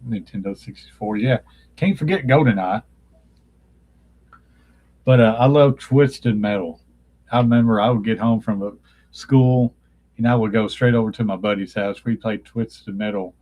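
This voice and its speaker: A man's voice: lowish voice, a little mellow, rough recording. A man, chatting casually on a live stream from his childhood bedroom. He meanders, often stops to hunt for a name, and his voice is getting dry from talking so long. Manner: meandering, nostalgic, searches for names mid-sentence. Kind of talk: casual rambling chat.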